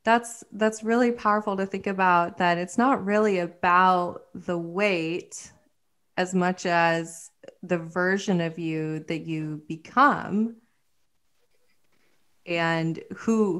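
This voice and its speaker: A woman's voice: fairly low pitch, a bit bright. A woman hosting a podcast, responding to her guest with affirming, reflective comments. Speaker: podcast host. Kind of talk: casual podcast interview.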